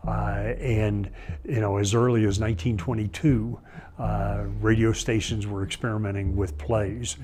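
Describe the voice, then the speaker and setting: Dude explaining history in an interview, lowish, pretty flat delivery, slight bg noise. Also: male voice. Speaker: radio history commentator. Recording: faint background noise.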